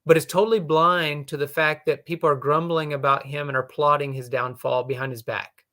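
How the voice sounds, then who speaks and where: A man explaining leadership lessons in a casual, thoughtful way, often pausing with "you know". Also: a little high, level tone, pushed and bright.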